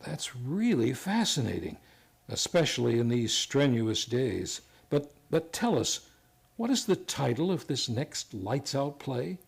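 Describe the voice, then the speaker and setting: An actor playing an inquisitive announcer, delivering scripted prompts in old-time radio style. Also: male voice, mid-range voice, big pitch swings, unhurried, gravelly, slightly rough, exaggerated voice. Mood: curious.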